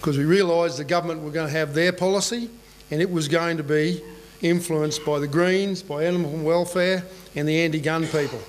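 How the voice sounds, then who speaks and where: A man's voice: on the high side, fairly even intonation, a little fast, rough recording. A middle-aged Australian man giving a conference presentation in a plain, conversational way, often checking in with the room. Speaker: conference speaker. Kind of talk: presentation talk. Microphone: boom mic on a lectern stand.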